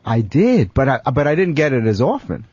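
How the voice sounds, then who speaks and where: A man's voice: medium pitch, big pitch swings, brisk, a little mellow, slightly rough, dry recording, muffled recording. An American man on a radio talk show, bantering casually and insisting defensively on his point, often repeating himself.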